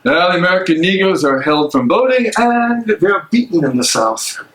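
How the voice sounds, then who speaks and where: A man acting a troubled, earnest German theologian in a live dramatic reading, speaking haltingly. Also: sits high, lively intonation, fairly bright tone, rough recording. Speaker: actor in a dramatic play reading. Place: public library meeting room. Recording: live library room, faint background noise.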